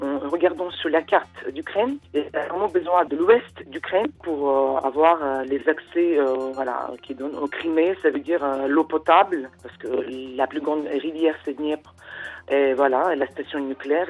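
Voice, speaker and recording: Husky woman. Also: low-pitched. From Russia. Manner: earnest, halting, with many restarts. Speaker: radio guest, former volleyball coach. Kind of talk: radio interview.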